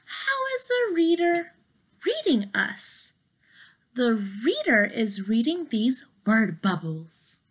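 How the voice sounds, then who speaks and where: A young woman reading a children's picture book aloud in an expressive, dramatic and playful manner. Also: high voice, slow pace, slightly rough. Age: young adult. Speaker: storybook reader. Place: small bedroom, indoors.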